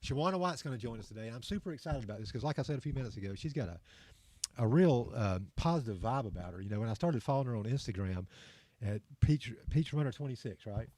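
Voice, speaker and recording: A man's voice: mid-range voice, expressive, fast, a little mellow, no room sound. A man co-hosting a running podcast, chatting with an enthusiastic, laughing manner. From Georgia. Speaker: podcast co-host. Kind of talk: casual interview chat. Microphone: video call.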